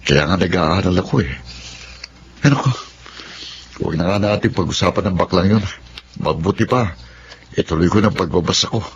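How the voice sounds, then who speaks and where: A man's voice: low voice, normal speed, pauses often, a little hiss or hum, natural voice. A man with a Filipino accent acting an earnest, polite young working student in a radio drama. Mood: polite, firm. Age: young adult. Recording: muffled radio drama, music bed underneath.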